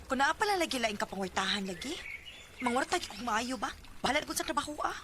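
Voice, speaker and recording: A woman's voice: a little high, lively intonation, quick pace, natural voice. A woman acting a worried, hesitant young working daughter in a Filipino radio drama. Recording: noisy radio drama recording.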